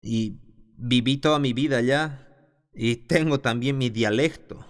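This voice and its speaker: A man's voice: medium pitch, unhurried. A man teaching a Bible class who reads scripture aloud and then explains it, stopping now and then to restart a phrase. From the south of his country.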